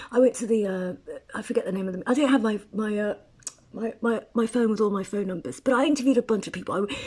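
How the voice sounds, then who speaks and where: A woman's voice: mid-range voice, darkish tone, breathy edge. A woman from Eritrea talking at length in a casual personal monologue, rambling with restarts and asides. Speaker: writer.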